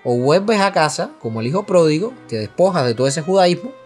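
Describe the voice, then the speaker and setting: A man with a fairly high voice co-hosts a religious podcast and lays out doctrine earnestly. His delivery is steady and explanatory, and he quickens when he adds an aside. Soft music sits faintly under the talk. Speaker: podcast co-host.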